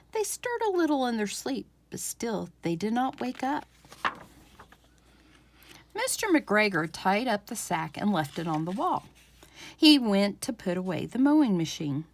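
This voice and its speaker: A woman reading a children's storybook aloud as a library storyteller, with expressive, dramatic emphasis. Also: fairly high pitch, a little slow.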